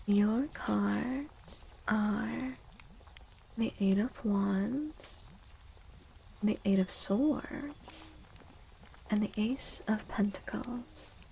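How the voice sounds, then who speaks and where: Soft breathy woman, slow and creaky. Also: medium pitch, slight background noise, rough recording. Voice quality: soft, breathy, often whispery. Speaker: streamer and tarot reader. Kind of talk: soft-spoken ASMR livestream chat.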